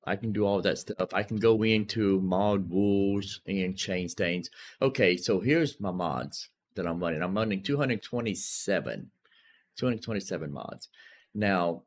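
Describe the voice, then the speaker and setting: A man casually commentating as a gaming streamer, thinking aloud and informally talking through each step. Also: sits low. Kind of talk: casual gameplay and modding commentary. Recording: clearly noisy background.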